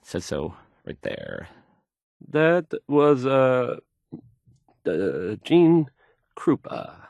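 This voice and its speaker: Stammering, nervous, awkward radio host. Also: male voice, mid-range voice, huge pitch swings, extremely slow, creaky, a little mellow, dry recording, heavily acted. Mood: awkward, unsure. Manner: stammering, hesitant, nervous, trailing off. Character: timid, awkward young male radio host, anxious and insecure.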